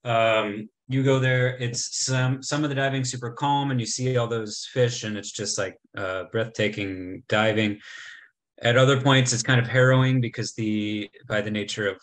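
A man's voice: medium pitch, fairly even intonation, normal speed. A man in his thirties giving a casual travel slideshow talk over a video call, chatty, with many ums and restarts. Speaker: travel presenter. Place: indoor room, remote talk. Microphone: webcam video call.

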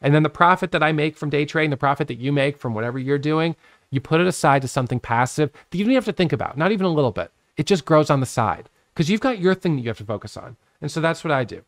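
A man in his late thirties giving a casual whiteboard lesson on trading from his home office, talking with his hands into a boom-arm studio mic. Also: quick pace, no room sound. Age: 30s. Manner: animated, talks with his hands, drawing on a whiteboard. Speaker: trading educator. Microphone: studio microphone on a boom arm.

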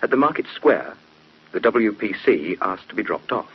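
A man's voice: lowish voice, big pitch swings, moderate pace, breathy edge, full character voice. An actor with an English accent playing a young police detective who narrates his case in a radio drama. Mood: reflective narrating. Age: young adult. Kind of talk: 1970s radio drama first-person narration. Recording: muffled, humming old radio recording.

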